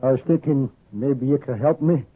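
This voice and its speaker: An actor playing a weary, grimly determined old American farmer in a 1950s radio western, speaking plainly and haltingly. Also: male voice, medium pitch, slightly rough, lightly acted. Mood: grimly resolved. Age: older. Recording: muffled, narrow-band old radio recording.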